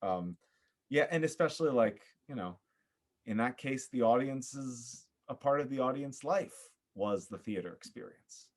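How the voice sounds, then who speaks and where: A man speaking as an interview guest, reflective and earnest, thinking aloud with halting, repeated phrases. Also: medium pitch, expressive. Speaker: guest, theater director.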